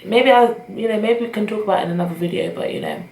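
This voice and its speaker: A woman's voice: mid-range voice, racing, darkish tone. A young woman with a British accent, chatting casually and rambling to her camera. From Britain. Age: young adult. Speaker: vlogger. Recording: echoey room with faint noise.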